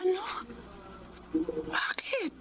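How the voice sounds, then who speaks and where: A woman's voice: very slow, a bit bright. A woman playing a caring, practical middle-aged schoolteacher and mother in a radio drama, earnest and nurturing as she advises. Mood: worried. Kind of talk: Tagalog radio drama acting.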